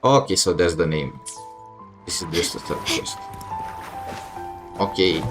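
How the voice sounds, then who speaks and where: Guy streaming a game, kinda low voice, casual halting commentary, game music going behind him. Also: expressive. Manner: halting, reacting in short bursts. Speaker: game livestreamer. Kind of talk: casual live gameplay commentary. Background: video game music.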